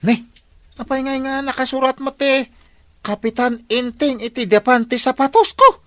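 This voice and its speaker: Evasive fake-innocent villager in a radio comedy, ilocano accent, voice way high and jumpy, stalls and squeals. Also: male voice, wildly swooping pitch, measured pace, fairly bright tone, no room sound, full character voice. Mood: nervous, stalling. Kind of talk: comedy radio drama acting.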